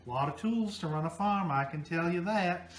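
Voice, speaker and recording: A man's voice: high-pitched, moderate pace, roomy sound, slight background noise. A man, a farmer, casually explaining things to children in simple, practical terms.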